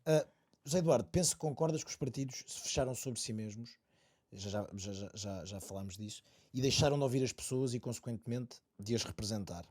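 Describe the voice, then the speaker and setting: A man's voice: medium pitch, a little fast, a little mellow. A man with a European Portuguese accent hosts a casual podcast interview. His voice sits in a mid range for a man. He builds long questions in several parts before handing the floor to his guest. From Santarém, Portugal. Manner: asks long, multi-part questions. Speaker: podcast host.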